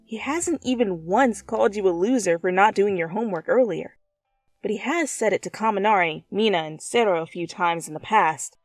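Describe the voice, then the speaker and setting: A woman reading a story aloud as dramatic, expressive narration, addressing the listener as the main character. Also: medium pitch, normal speed, no echo, soft background music. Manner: theatrical, expressive. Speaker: fan fiction reader.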